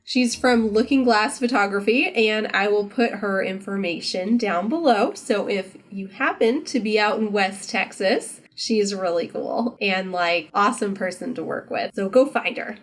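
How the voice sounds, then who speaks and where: A woman's voice: mid-range voice, measured pace, few pauses. A woman chatting casually in a vlog, informal and enthusiastic, with lots of "like" in her speech. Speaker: vlogger and costume maker.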